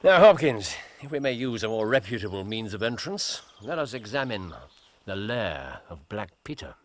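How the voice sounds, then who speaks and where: An actor playing a shrewd, unsentimental gentleman detective in a radio drama, British, brisk and wry. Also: on the low side, very animated, no room sound. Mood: keen, absorbed. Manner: brisk, deductive, wry, muttering to himself.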